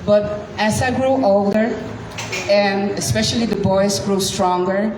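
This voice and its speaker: Slow-paced woman in an echoey room. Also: medium pitch, fairly even intonation. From Curacao. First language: Dutch. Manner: slow, deliberate, with frequent pauses. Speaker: guest speaker. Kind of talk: storytelling talk to a live audience. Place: event room. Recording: echoey room, distant mic, noisy.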